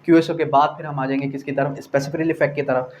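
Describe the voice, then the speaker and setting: A man's voice: fairly high pitch, level tone, fast talker. Pakistani man lecturing over background noise. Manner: asks questions and answers them himself, repeats key phrases; mixes English legal terms into speech. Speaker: law lecturer. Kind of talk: classroom lecture explaining definitions and articles.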